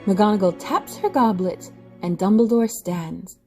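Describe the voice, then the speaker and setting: A woman's voice: mid-range voice, a little slow. A woman giving dramatic scripted narration for an audio play, with music behind her.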